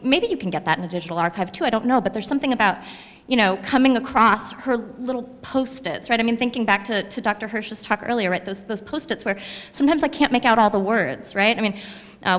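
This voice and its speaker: A woman's voice: a little high, a bit bright. A young woman speaking on a university panel at a stand mic, chatty and full of asides. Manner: chatty, frequent "you know" and "right?". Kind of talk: panel discussion. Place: lecture hall with audience. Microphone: stand mic at a panel table. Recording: long reverb of a hall.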